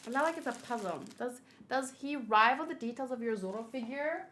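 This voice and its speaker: A woman's voice: on the high side, normal speed, pushed and bright, slight background noise. A woman streamer chatting casually and giggling as she unboxes and assembles a figure, answering her chat.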